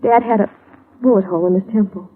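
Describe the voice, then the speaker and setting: A woman's voice: mid-range voice, fast, husky, lightly acted. An actress playing a grieving, polite young woman in a 1950s radio drama, earnest and subdued. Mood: somber recounting. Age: young adult. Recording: muffled, tinny old radio recording.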